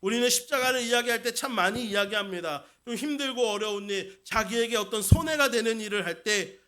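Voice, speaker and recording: Pastor guy giving a sunday sermon, super high voice, keeps asking the church questions. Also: brisk, bright, forceful tone. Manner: asks the congregation questions, emphatic repetition. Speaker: senior pastor. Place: church service.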